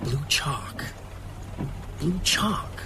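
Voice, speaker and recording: An actor playing a grieving, haunted man in a horror audio drama, intense and halting under strain. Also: a little high, very animated, creaky, background noise, heavily acted. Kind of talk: audio drama acting with first-person narration.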